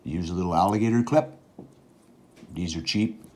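A middle-aged man with a very low voice walks through a hands-on project in an easy, matter-of-fact way, filling pauses with the odd "uh." He talks while working at an indoor bench, the camera aimed down at his hands. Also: dark tone. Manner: plain, matter-of-fact explaining with fillers. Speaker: outdoors channel host. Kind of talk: casual how-to demonstration. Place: indoors at a workbench.

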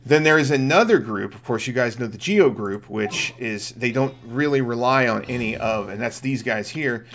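A man's voice: medium pitch, a bit bright. A middle-aged man giving casual live gameplay commentary, explaining things to viewers as he streams. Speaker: game streamer and host. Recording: game music behind the voice.

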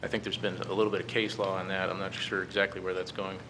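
A middle-aged American man giving a halting, measured formal briefing at a podium microphone. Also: deep voice, level tone. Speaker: presenter to a city council. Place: council chamber, standing at a podium. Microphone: podium gooseneck microphone. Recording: clearly noisy meeting-room recording.

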